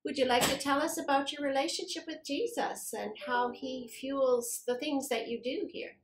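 A middle-aged woman interviewing a church staff member with gentle, measured questions in a small office. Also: sits high, fairly even intonation. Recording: echoey office room.

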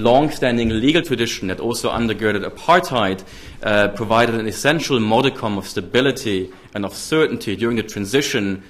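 A man in his thirties with a German accent, giving a formal keynote lecture at a podium microphone in a large hall, measured with frequent ums. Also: lowish voice, fairly bright tone. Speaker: keynote speaker. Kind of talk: academic lecture.

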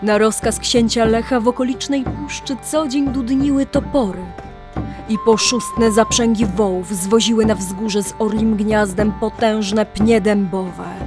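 Woman telling an old legend, really slow and dramatic, some music behind her. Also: fairly high pitch, steady tone. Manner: solemn, deliberate, dramatic storytelling. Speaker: narrator. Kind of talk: scripted storytelling narration of a legend in archaic language.

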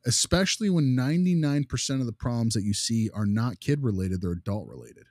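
A man's voice: mid-range voice, lively intonation, fairly quick, darkish tone, no room sound, clean studio sound. A man talking casually as a podcast co-host, emphatic and often breaking off to restart his thought. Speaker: podcast co-host, behavior analyst.

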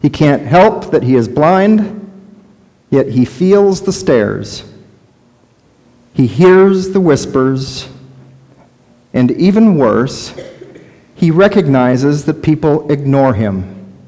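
A middle-aged male pastor telling a story in a sermon, measured and slow, in a large church sanctuary. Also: a little high, expressive, warm tone. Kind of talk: sermon narration. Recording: long church reverb.

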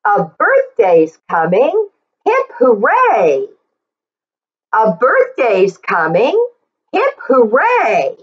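Very slow, swooping woman reading to children. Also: fairly high pitch, breathy edge, highs cut off. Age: middle-aged. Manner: animated, exaggerated sing-song delivery with encouraging asides. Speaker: children's story reader and teacher. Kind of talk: read-aloud for young children, repeating lines slowly. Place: small plain indoor room.

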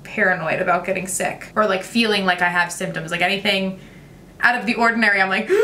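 A young woman, talking through a makeup tutorial in a chatty, gushing way, her voice a little raspy today. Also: mid-range voice, pushed and bright, echoey room, distant mic, a little hiss or hum. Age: young adult. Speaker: beauty vlogger. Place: bright home room with makeup shelves. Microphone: camera at close framing.

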